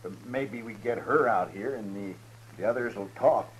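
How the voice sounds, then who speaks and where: An actor playing a menacing, lecherous outlaw in a 1950s radio western, halting and muttering as he talks. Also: fairly low pitch, close to an everyday voice. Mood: sly, idle. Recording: noisy 1950s radio recording with hum.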